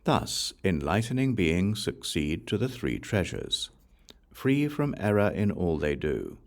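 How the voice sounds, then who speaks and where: A man reading scripture aloud as an audiobook narrator, solemn and formal, in measured phrases that fall away at the ends. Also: low voice, big pitch swings. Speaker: audiobook reader.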